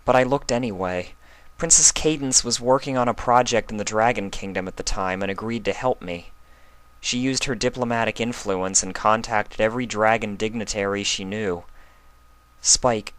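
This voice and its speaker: A man voicing a caring, anxious young female unicorn with a gentle, emotional, pleading manner. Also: on the low side, moderate pace, pitched above the performer's own voice, close to an everyday voice. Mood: nervous. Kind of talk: acted fan fiction reading.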